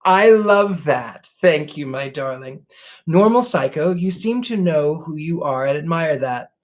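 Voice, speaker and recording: A man hosting a live stream as a psychic, reading out viewers' questions and answering them in a genteel, flowery way with many halting restarts. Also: a little high, animated. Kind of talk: live stream, reading chat comments aloud. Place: seated at a wobbly table indoors.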